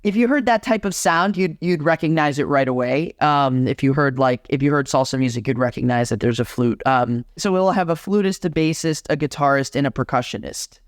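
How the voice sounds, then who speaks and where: A young adult man from Miami, Florida, a guest organist chatting in an interview, animated and full of restarts. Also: fairly high pitch, brisk, few pauses, no echo, clean studio sound. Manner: animated, talks with many restarts. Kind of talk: casual interview conversation.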